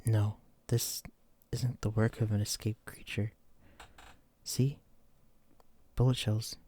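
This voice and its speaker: A man's voice: fairly low pitch, flat delivery, slow, warm tone. A man playing a wary, protective psychic escapee in an immersive audio drama, speaking directly to a companion. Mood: wary, somber. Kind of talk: immersive roleplay audio drama, addressing the listener.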